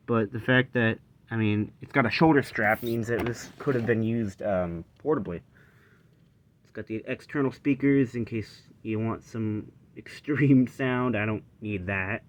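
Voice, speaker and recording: A man's voice: mid-range voice, darkish tone, muffled recording. A man casually showing off equipment unscripted, rambling and breaking off as he goes, recorded on a handheld phone. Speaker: vlogger showing vintage reel-to-reel tape recorder. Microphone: handheld phone camera.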